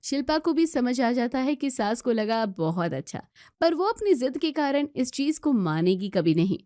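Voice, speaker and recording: Woman storyteller reading a hindi family drama, highish voice, dry recording. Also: sing-song, normal speed, warm tone, no room sound. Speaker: narrator.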